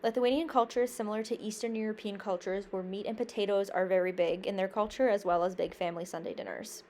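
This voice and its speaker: A woman's voice: medium pitch, fairly even intonation, quick pace, darkish tone, slight background noise. A young woman from Canada, a university student, reading a prepared class presentation in an earnest, careful way, with small slips and restarts. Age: young adult.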